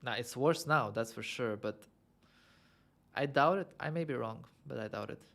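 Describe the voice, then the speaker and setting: Chatty man with a gravelly voice. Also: mid-range voice, normal speed, a bit bright. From Lebanon. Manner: chatty, self-amused, thinks aloud with many fillers. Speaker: livestream host. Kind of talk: casual livestream chat answering viewer questions.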